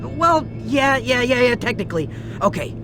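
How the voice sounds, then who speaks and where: A voice actor playing a boastful villain who makes grand threats, then fumbles and backpedals. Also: male voice, extremely high pitch, big pitch swings, normal speed. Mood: flustered. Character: boastful, bumbling lair-dwelling male villain. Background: game music.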